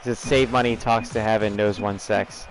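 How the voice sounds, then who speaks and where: A young man with a plain General American accent rambles to his chat between plays, often breaking into laughter. His voice sits on the low side, and faint game sounds run quietly beneath it. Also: steady tone, normal speed, slight background noise, low-quality recording. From United States. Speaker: streamer. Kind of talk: casual livestream chatter over gameplay.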